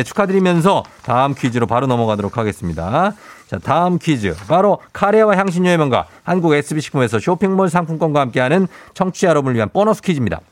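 A man in his forties with a fairly high, very animated voice hosts a radio quiz show. He banters playfully and encourages people, recorded close and dry in a studio. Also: no echo. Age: 40s. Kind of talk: lively radio hosting with listener texts and quiz.

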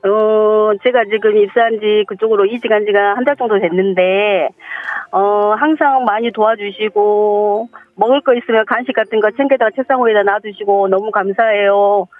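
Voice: medium pitch, steady tone, normal speed, phone-quality sound. A radio caller with a Jeolla accent, from Hwasun, talking a little nervously and laughing shyly on the phone. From Hwasun, Jeolla, South Korea. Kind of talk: phone call to a radio show. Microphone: phone line.

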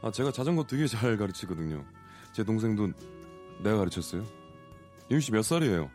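A man's voice: on the low side, sing-song, fairly quick, darkish tone, faint music behind. A man playing an earnest, forward suitor of thirty-one in a radio story reenactment. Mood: breezy. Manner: casual, pushy, earnest. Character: forward, earnest 31-year-old man courting a woman.

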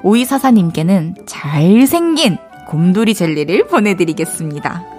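A young woman DJ with a light Daegu lilt chats her way through listener letters on the radio. She is playful, thinks out loud, and now and then changes her mind mid-thought. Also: fairly low pitch, lively intonation, normal speed, over music. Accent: Daegu Gyeongsang Korean. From Daegu, South Korea. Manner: chatty, playful, thinks aloud. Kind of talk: casual radio chat reading listener letters.

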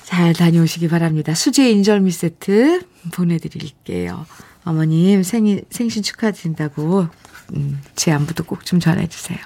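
A woman's voice: fairly low pitch, expressive, normal speed, vocal fry, dark tone. A woman hosting a radio show, chatting in a friendly way and reading listener messages aloud into a studio microphone. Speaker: radio host.

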